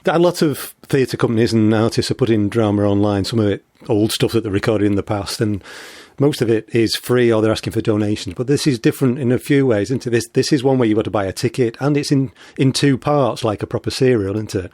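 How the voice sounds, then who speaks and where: A man's voice: fairly low pitch, fast talker, few pauses, a little mellow, dry recording, clean studio sound. A man hosting a podcast, putting questions in a casual interview style.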